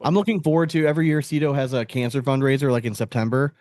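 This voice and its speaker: A man's voice: mid-range voice, steady tone, fast, darkish tone, no echo, studio quality. An American man hosting a podcast in casual, slangy chat, talking to the others like buddies. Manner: slangy, buddy-like. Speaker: podcast host.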